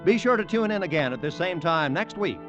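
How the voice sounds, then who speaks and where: Animated American male vintage radio announcer. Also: on the high side, a little fast. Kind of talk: formal 1940s radio announcing. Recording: dull vintage radio recording. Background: instrumental music.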